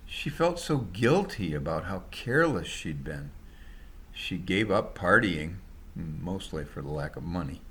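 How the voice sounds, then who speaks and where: A man narrates a written story in a low register. His delivery is slow and measured. Some faint noise sits behind the voice. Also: a bit bright. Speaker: author reading own story. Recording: noticeable background noise.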